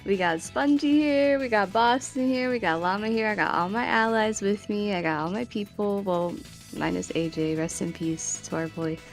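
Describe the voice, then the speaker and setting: Woman, mid-pitched, upbeat and chatty; casual confessional. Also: moderate pace, faint music behind. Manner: upbeat, repeats "you know" often. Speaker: player in a online game contest. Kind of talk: casual confessional about game strategy.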